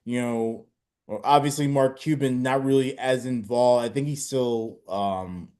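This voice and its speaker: Easygoing man, halting sports chatter. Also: mid-range voice, a little mellow. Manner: halting, filler-heavy, easygoing. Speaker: podcast co-host. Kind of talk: casual sports talk.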